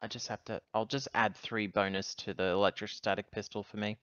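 A man's voice: on the low side, flat delivery, fairly quick, a bit bright, dry recording, muffled recording. A man chatting casually as a player in a tabletop role-playing game.

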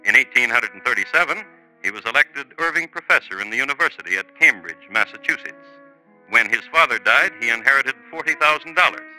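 A man playing a wry, genteel crime storyteller in formal, deliberate 1950s radio narration. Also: medium pitch, lively intonation, pushed and bright, faint music behind, poor audio. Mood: wry, measured. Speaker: narrator and host of a crime drama. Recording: 1950s radio broadcast recording.

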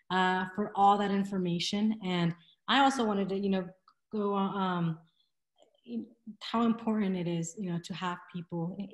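A woman in her thirties presenting on a video call from a room at home, chatty, with many fillers and restarts. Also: medium pitch. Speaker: presenter in a webinar. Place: home room with window blinds. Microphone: laptop webcam on a video call. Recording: roomy echo.

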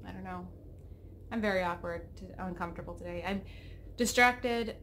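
A woman's voice: lowish voice, normal speed, fairly bright tone, a little hiss or hum. A woman in her thirties hosting a knitting podcast from her home craft room, chatting in a rambling, self-interrupting way, her throat a little froggy. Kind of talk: casual chat. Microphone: webcam at desk.

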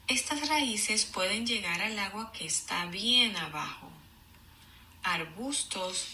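A woman teacher reading aloud to children in a deliberate, explanatory way, enunciating for young learners. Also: mid-range voice, sharp tone, slight background noise. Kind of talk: children's read-aloud lesson.

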